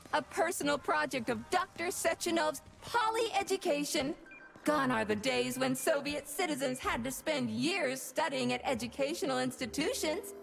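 A woman's voice: sits high, vocal fry. A woman voicing a cheerful, unflappable robot guide in a video game, formal and courteous as she instructs. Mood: bright, promotional. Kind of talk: scripted video game announcements.

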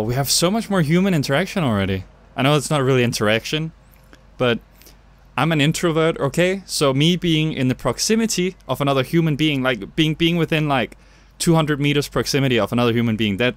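A young man from Denmark with a Danish accent, chatting through a game with jokey, self-mocking asides at his home setup. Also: medium pitch. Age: young adult. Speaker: gaming YouTuber. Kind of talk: let's play commentary. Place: home gaming setup, indoors.

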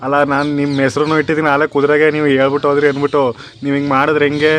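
A man's voice: a little high, completely flat delivery, rapid-fire, fairly bright tone. A man with a rural southern Karnataka Kannada accent making a prank phone call, casual and insistent, pestering with feigned earnestness. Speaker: prank caller. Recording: noisy phone call recording.

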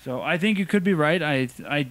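A man's voice: fairly high pitch, lively intonation, a little fast, fairly bright tone. A Canadian man co-hosting a podcast in casual sports chat, asking questions and thinking aloud. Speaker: podcast co-host.

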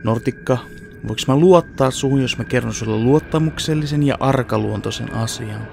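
Man as a creaky, serious teen ninja. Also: medium pitch, darkish tone, a little hiss or hum. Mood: grave, confiding. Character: secretive, cool, self-serious teenage ninja boy. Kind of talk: fan parody anime dub.

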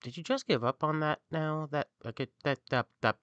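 A woman's voice: deep voice, normal speed, no room sound, lo-fi sound. A woman who performs audio roleplay, talking off script to herself between takes.

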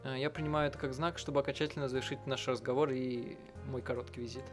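A man reads a visual novel aloud, translating as he goes. His delivery is halting and improvised, at a middling pitch, with game music clearly playing behind him. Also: steady tone. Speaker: let's player translating and voicing a game.

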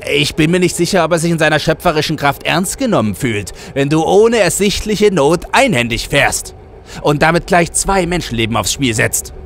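A man's voice: fairly high pitch, expressive, moderate pace, vocal fry, fairly bright tone, a little hiss or hum, pitched above the performer's own voice. A man voicing an exasperated, preachy hitman who talks emphatically in a satirical audio play. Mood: scolding. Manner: emphatic, indignant, sweary.

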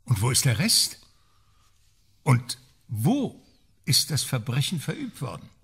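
A probing amateur detective in a radio crime play. His husky, gravelly voice swings very widely in pitch. He is terse, sceptical and questioning. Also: huge pitch swings, slow, a bit bright, full character voice. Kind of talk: naturalistic radio crime drama acting.

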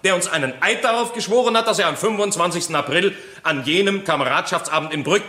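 Shrewd, insistent defence lawyer. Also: male voice, high voice, fast, bright, forceful tone. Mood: triumphant, pointed. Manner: formal, pointed, rhetorical questioning. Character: shrewd, insistent male defence lawyer, methodical cross-examiner. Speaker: actor in a courtroom radio play. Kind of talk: German crime radio drama acting.

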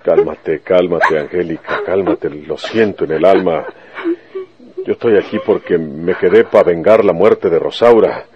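An actor playing a stubborn, vengeful rural Mexican detective in a radio serial, with earnest, folksy country speech. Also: male voice, low voice, measured pace, lo-fi sound. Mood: sorrowful, earnest. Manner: folksy country speech full of rustic colloquialisms. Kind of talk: Spanish-language radio serial acting.

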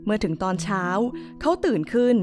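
A woman's voice: medium pitch, a little fast. A woman reading a scripted fairy tale for children as a storyteller. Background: music.

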